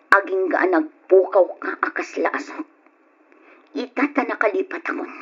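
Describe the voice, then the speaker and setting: A woman playing a single mother in an Ilocano radio drama, with a husky voice and an emotional delivery. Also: on the low side, heavily acted. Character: Donna, single mother, tender with child, guarded with ex-lover. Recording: muffled lo-fi old radio recording.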